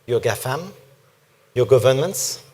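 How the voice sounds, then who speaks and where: A man's voice: mid-range voice, animated, darkish tone, husky. Man with a strong French accent. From France. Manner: deliberate, emphatic, speaks slowly. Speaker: panelist. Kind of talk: panel discussion.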